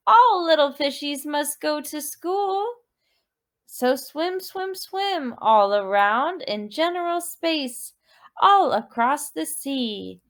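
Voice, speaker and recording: High, slow, expressive woman storyteller. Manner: expressive, deliberate, prompting with questions and repeated words. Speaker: dance teacher reading a movement story. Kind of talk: children's guided storytelling.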